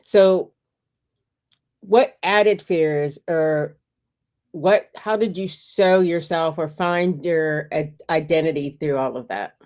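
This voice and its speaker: Slow-speaking woman interviewer. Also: deep voice, darkish tone. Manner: slow, measured questioning. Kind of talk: interview questions.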